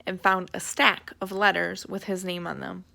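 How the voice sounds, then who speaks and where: A woman's voice: lowish voice, level tone, moderate pace, vocal fry, sharp tone. A woman teacher reading a children's storybook aloud.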